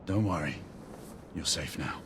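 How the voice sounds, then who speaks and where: Serious low-voiced knight, all business, noisy scene behind him. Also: male voice, unhurried, vocal fry, exaggerated voice. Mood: gruff, reassuring. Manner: terse, businesslike, authoritative. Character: stern, commanding gentleman knight on a covert mission.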